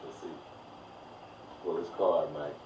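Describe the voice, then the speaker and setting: A man's voice: low voice, fairly even intonation, slow, dark tone. A man in his thirties in casual podcast banter who keeps pressing with follow-up questions. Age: 30s. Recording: roomy, distant mic, faint noise.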